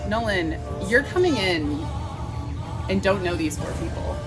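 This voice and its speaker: A woman's voice: medium pitch, very animated, a little slow, over loud music. A woman hosting a reality competition, putting questions to the players at a council. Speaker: host of a fan-made reality competition.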